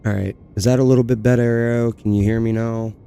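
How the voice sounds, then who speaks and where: A man's voice: mid-range voice, little pitch movement, brisk, vocal fry, warm tone, clear, resonant tone. A man streaming a video game in casual live chat, with his voice giving out as he talks. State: losing his voice.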